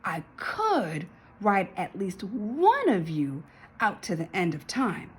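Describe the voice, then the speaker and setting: Woman voicing a bold, fiery young cowgirl, slightly husky. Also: mid-range voice, wildly swooping pitch, slow, heavily acted. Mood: bold resolve. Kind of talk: storybook read-aloud character voice.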